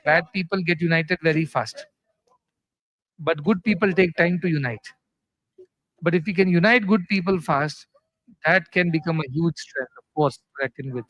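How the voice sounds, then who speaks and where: A man's voice: a little high, moderate pace, pauses often, a bit bright, no room sound, highs cut off. A man giving an earnest, aphoristic formal speech at a festival.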